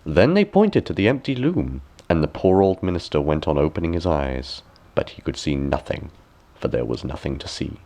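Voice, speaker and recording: A man reading a fairy tale aloud as an expressive storyteller, with measured, deliberate phrasing. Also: extremely low pitch.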